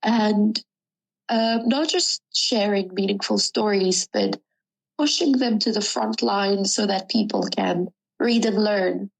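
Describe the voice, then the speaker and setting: A young woman from Sudan, a native Arabic speaker, earnestly reflecting on her life in an interview, pausing with ums and correcting herself. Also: mid-range voice, gravelly, rough recording. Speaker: student interviewee. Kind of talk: personal interview.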